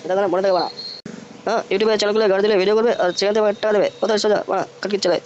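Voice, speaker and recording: A man's voice: sits high, fairly even intonation, rapid-fire, dull audio, a little hiss or hum, close to an everyday voice. A man voicing a scornful, foul-mouthed father who nags and threatens, in a crude comic cartoon. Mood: angry, threatening. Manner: gruff, nagging, threatening. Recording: loud music under the voice.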